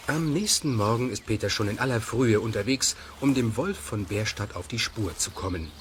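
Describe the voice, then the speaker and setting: A man's voice: lowish voice, moderate pace. Male storyteller over noisy ambience. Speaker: narrator. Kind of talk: scripted storytelling narration for children's audio drama.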